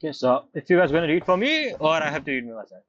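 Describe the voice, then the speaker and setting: Fast-talking woman streamer, casual commentary. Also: low-pitched, sing-song, a little hiss or hum. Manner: informal, muttering short reactions. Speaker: game streamer. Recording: muffled mic over game music.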